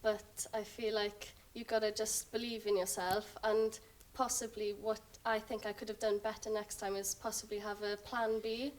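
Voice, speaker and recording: A woman's voice: medium pitch, level tone, normal speed, hardly any pauses, far from the mic. A young woman answering as a student guest, hesitant and full of fillers. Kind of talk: casual interview answers.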